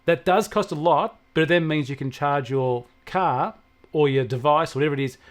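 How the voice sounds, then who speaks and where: A man's voice: on the high side, normal speed. An Australian man co-hosting a casual tech talk, explaining things in a plain-spoken, opinionated way. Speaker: technology show co-host and expert.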